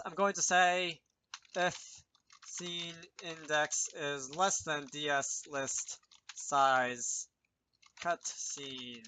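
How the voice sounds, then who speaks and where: A man's voice: fairly high pitch, sharp tone, slight background noise, low-quality recording. A man presenting an informal programming tutorial, thinking aloud with self-deprecating asides as he explains. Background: keyboard typing and mouse clicks.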